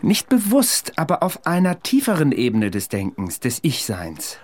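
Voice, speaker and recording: A man's voice: a little high, wildly swooping pitch, normal speed. An actor in a radio play playing an earnest, haunted man with a dryly ironic, insistent manner. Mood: musing, earnest. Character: intense, wry, haunted man claiming to be Napoleon's veteran.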